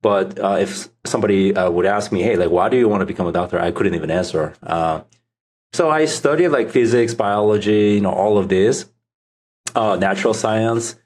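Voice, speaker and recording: A man's voice: on the low side, moderate pace, a little mellow. A middle-aged man from South Korea with a Korean accent, giving casual answers as a podcast guest. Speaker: podcast guest, startup founder.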